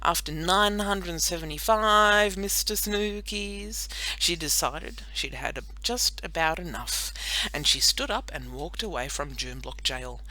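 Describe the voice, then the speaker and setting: A woman narrating a children's story in an expressive, animated storytelling manner. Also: fairly low pitch, measured pace, poor audio. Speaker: audiobook narrator.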